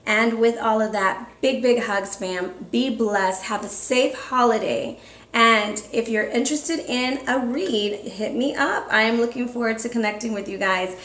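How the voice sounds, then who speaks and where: A woman's voice: a little high, unhurried, a bit bright. A woman in her thirties, an upbeat tarot reader talking casually to her viewers in a small room. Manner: upbeat, chatty, calls viewers family and fam. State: hoarse, losing her voice. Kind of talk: casual monthly tarot reading vlog. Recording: echoey room.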